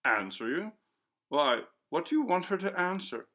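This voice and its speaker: A man's voice: fairly high pitch, big pitch swings, normal speed, fairly bright tone, pitched above the performer's own voice. A man voicing an impatient, severe upper-class lady in an exasperated, imperious manner for a storybook reading.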